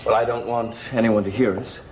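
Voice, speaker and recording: A man's voice: medium pitch, fairly even intonation, fairly quick, noisy background, natural voice. Actor as insistent man in gothic soap. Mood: probing. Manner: pressing, persuasive. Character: insistent, inquisitive cousin in gothic manor. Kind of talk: 1960s-70s TV soap acting. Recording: old TV soundtrack with music underneath.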